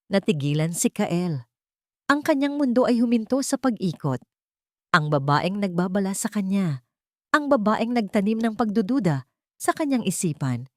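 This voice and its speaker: A woman narrating a scripted drama as a storyteller, in a measured, suspenseful way with dramatic pauses. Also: mid-range voice, big pitch swings, dry recording, studio quality.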